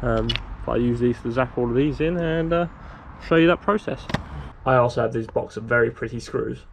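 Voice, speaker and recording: A young man casually explaining a DIY build outdoors, talking to a handheld camera in a relaxed, rambling way. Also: mid-range voice, fairly quick, creaky, a little hiss or hum. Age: young adult. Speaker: sailing vlogger. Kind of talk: casual how-to vlog. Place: outdoors in a garden, later in a shed. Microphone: handheld camera at arm's length.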